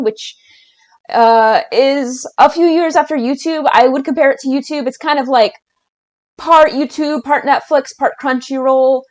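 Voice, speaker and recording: A woman giving a casual, enthusiastic video-essay rant as a YouTuber, chatty and hesitant, often restarting her sentences. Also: fairly high pitch.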